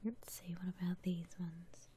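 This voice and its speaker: A woman whispering softly and breathily into a close microphone, musing aloud in casual ASMR gameplay commentary. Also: on the low side, flat delivery, a little slow, slight background noise. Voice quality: soft breathy whisper, almost entirely unvoiced. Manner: gentle, musing aloud to herself. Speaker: ASMR gamer narrating her house renovation gameplay.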